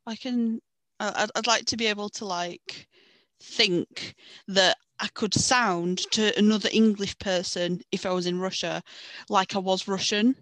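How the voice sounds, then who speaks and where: A woman's voice: mid-range voice, steady tone, measured pace, fairly bright tone, dry recording. Playful woman, dry close sound. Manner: playful, goofy asides. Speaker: podcast co-host. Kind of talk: casual banter.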